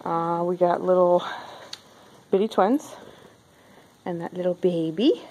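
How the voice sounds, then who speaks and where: A woman's voice: on the low side, steady tone, slow pace, a little mellow, poor audio. A woman casually vlogging a walk-through of a craft bazaar, chatty and upbeat with lots of fillers. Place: indoor craft bazaar hall.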